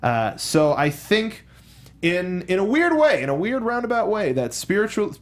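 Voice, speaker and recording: Halting, enthusiastic man talking film. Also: high voice, big pitch swings. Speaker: co-host of a film discussion show. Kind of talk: casual film commentary.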